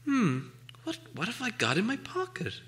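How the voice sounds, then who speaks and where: A man's voice: sits high, wildly swooping pitch, moderate pace, a bit bright, pitched above the performer's own voice, heavily acted. A man voicing a small, nervous hobbit who tries to sound bold in an audiobook. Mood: puzzled. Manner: nervous but bold, clipped. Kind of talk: audiobook character acting.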